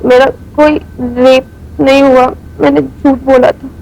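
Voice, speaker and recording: A woman's voice: high voice, level tone, a little slow, vocal fry. A young woman with an Indian accent acting as a subdued, apologetic daughter in a TV crime drama, over a noisy soundtrack.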